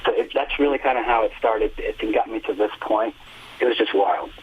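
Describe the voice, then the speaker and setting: A man's voice: fairly low pitch, brisk, slight background noise. A fifty-year-old man, a singer and music teacher, telling long earnest stories with many fillers in a phone interview. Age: 50s. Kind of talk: relaxed phone interview storytelling. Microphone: telephone. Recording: tinny phone line.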